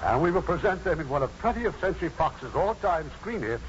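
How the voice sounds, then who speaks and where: A man's voice: on the high side, very animated, fairly quick. A man presenting a scripted 1950s radio show as its host. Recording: dull, noisy 1950s radio recording.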